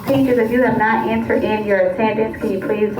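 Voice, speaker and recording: A woman's voice: on the high side, steady tone, fairly quick. Distant echoey woman making school announcement. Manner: polite, formal. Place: announcement heard inside a classroom. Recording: echoey, distant, room chatter behind.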